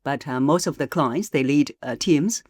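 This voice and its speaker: A woman voice coach, a podcast guest, explaining in a measured way with frequent pauses and hesitations. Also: low-pitched, a little mellow, no room sound, studio quality. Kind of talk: podcast interview.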